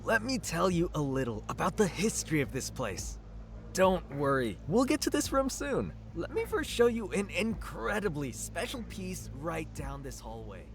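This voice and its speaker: Animated, nerdy art buff. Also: male voice, on the high side, normal speed, creaky, over music. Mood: eager, showy. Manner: expressive, lecturing, teasing. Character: chatty, nerdy, good-natured male art buff friend. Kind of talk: video game voice acting.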